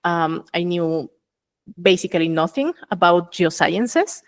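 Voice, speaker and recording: Ecuadorian woman explaining with careful pauses. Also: fairly low pitch, lively intonation. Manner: thoughtful, explains step by step with many "uh" pauses. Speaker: geophysicist guest. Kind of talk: casual interview.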